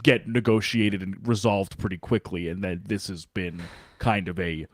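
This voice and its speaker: A man co-hosting a sports podcast, talking in an animated, sarcastic way and circling back with restarts. The sound is dry and close, with no reverb around him. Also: deep voice, moderate pace. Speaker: podcast co-host. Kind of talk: casual sports chat.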